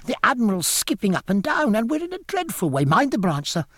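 Audiobook role: man as breathless boy midshipman, high-pitched, swooping, urgent and pleading, eager to please his elders. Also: fairly quick, no echo, exaggerated voice. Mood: pleading, excited. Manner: urgent, excitable, deferential pleading. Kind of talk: audiobook character dialogue.

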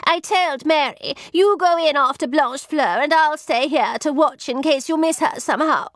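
A woman's voice: high voice, moderate pace, fairly bright tone, no room sound, studio quality, pitched above the performer's own voice. A woman voicing a haughty, melodramatic young lady-in-waiting, gushing and indignant, in a storybook reading. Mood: snappish.

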